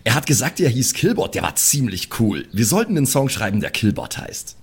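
A man's voice: medium pitch, huge pitch swings, a little fast, gravelly, slightly rough, exaggerated voice. A man voicing a brash, cocky young woman who loves rock and swears and boasts in an improvised audio drama. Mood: thrilled.

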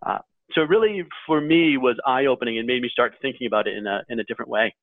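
A man's voice: mid-range voice, fast talker, no echo, phone-quality sound. A man on a panel, explaining his thinking in a casual, conversational way. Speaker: panel guest and article writer.

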